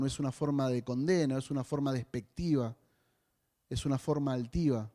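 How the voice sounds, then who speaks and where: A man's voice: on the high side, darkish tone. A man with a Rioplatense Spanish accent preaching a sermon as a pastor, in a conversational way with joking asides.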